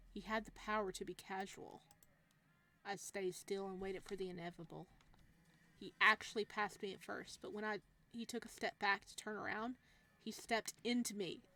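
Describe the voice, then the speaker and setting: A woman's voice: medium pitch, sharp tone. A woman let's player reading game text aloud and commenting casually, in a playful, giggly, reactive way. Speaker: let's player narrating a visual novel.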